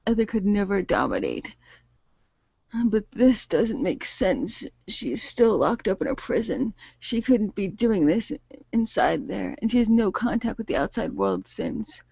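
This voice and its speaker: A woman's voice: mid-range voice, sing-song, unhurried, gravelly, darkish tone, husky, no room sound. Woman narrator, dry lo-fi recording. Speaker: narrator and game master. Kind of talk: improvised radio play narration. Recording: dull lo-fi voice recording.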